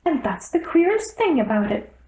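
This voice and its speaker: A storybook rabbit courtier played by a woman, pitched very high above the reader's own voice. He is nervous and deferential, speaking in a careful, fussy sing-song. The sound has a roomy echo. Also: sing-song, moderate pace, warm tone. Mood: fussy, puzzled.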